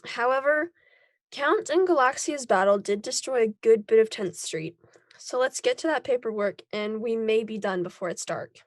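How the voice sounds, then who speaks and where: A woman playing a calm, reassuring superhero team leader in a table read of a scripted drama. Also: a little high, moderate pace, no echo, close to an everyday voice. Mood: welcoming.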